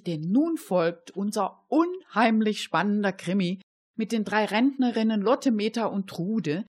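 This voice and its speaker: Animated, husky woman narrator. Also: mid-range voice. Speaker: radio host and narrator. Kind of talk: scripted storytelling narration.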